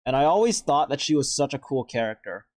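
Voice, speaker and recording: A man's voice: mid-range voice, big pitch swings, a little fast, a little mellow, no echo, clean studio sound. A man giving casual, off-the-cuff reaction commentary as a video host, rambling and hesitant as he thinks aloud.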